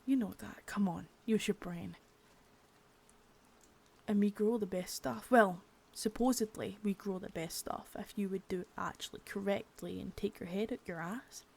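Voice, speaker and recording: A young woman doing an ASMR roleplay as a cold, contemptuous female boss of a drug ring, menacing and swearing, soft-spoken with bits of whisper. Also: medium pitch, normal speed, creaky, slightly rough. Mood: cold contempt. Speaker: ASMR creator.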